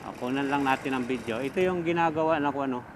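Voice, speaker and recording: Filipino guy motovlogging, medium pitch, rambly casual chat into helmet mic, lots of road noise behind him. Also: fast talker. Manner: unscripted, rambling, thinking aloud. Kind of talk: casual riding vlog, chatting to viewers. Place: outdoors, riding a motorcycle on the highway. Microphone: microphone inside the helmet intercom. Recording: steady road and vehicle noise. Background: traffic and motor noise.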